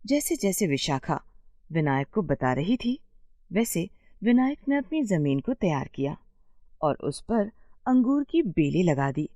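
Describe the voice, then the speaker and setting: A woman storyteller reading scripted narration for an animated bedtime tale. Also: on the low side, measured pace, dry recording, studio quality.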